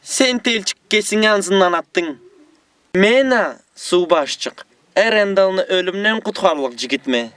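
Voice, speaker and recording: A man voicing a villainous young prince for an animated film dub. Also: sits high, measured pace, smooth, no echo, recorded from a distance. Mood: theatrical. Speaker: amateur dubbing voice actor. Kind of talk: animated film dubbing takes.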